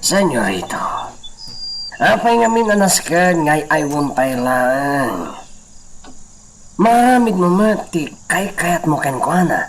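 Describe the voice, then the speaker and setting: A man's voice: fairly high pitch, big pitch swings, takes it slowly, long pauses, heavily acted. A man playing a chatty, nosy henchman in a comic Ilocano radio drama. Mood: deferential. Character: chatty, nosy male henchman serving a young master. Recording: clearly noisy background.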